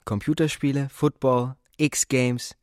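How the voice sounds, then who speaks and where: An actor playing a scornful, geeky teenage boy narrating deadpan in an audio drama. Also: medium pitch, takes it slowly, no echo, clean studio sound, close to an everyday voice.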